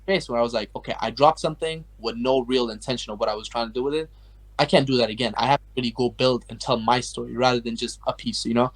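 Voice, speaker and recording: A man's voice: mid-range voice, fairly even intonation, fast talker, low-quality recording. A young man from Afghanistan, a guest artist chatting casually and rambling, with lots of filler words as he tells his story. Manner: rambling, heavy use of like and you know. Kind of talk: casual interview chat.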